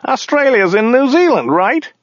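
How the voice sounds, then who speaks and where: High, whiny, nasal chauffeur. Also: male voice, big pitch swings, no room sound, muffled recording. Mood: insistent, clueless. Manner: persistently chatty, slow on the uptake. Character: dim, chatty American male limousine driver.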